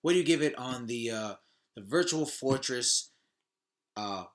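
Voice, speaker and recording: A man's voice: mid-range voice, lively intonation, a little slow. A man chatting casually as a podcast co-host, informal and sweary, often repeating himself. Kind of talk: casual chat about movies.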